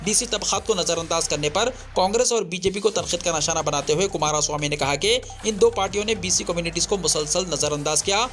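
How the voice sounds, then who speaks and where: A man's voice: fairly high pitch, fairly bright tone. A man reads a scripted news bulletin in a formal, declarative way. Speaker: news reader.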